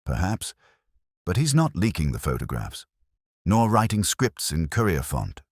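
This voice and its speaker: A man playing a wry, analytical police inspector who speaks deliberately in a mystery story. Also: low-pitched, wildly swooping pitch, slightly rough, no room sound, clean studio sound, full character voice. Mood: grave, thoughtful.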